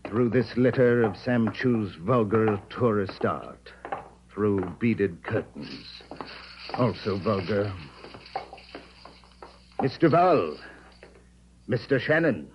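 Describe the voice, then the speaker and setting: An actor playing a suave, fastidious villain, pompous and precise, in 1950s radio drama style. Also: male voice, on the low side, big pitch swings. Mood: silky menace. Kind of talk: 1950s radio adventure acting. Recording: muffled, faintly noisy old radio recording.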